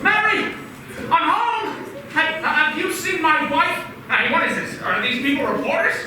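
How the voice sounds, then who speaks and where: A woman's voice: high voice, normal speed, slight background noise. A woman playing a grown man overcome with joy in an amateur stage radio play. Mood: joyful. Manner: exuberant, exclamatory. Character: George Bailey, an overjoyed grown man. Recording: distant, very echoey stage recording with loud music.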